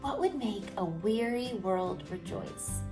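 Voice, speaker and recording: A woman reading a story aloud to children in an expressive, dramatic way, with emphatic phrases and hushed moments. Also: medium pitch, takes it slowly, vocal fry, over music. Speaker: storybook reader.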